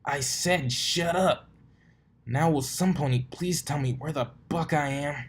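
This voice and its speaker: A man's voice: fairly high pitch, measured pace, breathy edge, voice pushed up. A man voicing a cocky young pegasus stallion, angry and confused, with a defiant, blustering manner in an amateur storybook reading. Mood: angry defiance.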